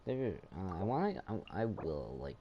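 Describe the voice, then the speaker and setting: A man casually commenting on a game as he plays, thinking aloud in a halting, rambling way. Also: deep voice, big pitch swings, muffled recording, a little hiss or hum. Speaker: gamer playing and commenting.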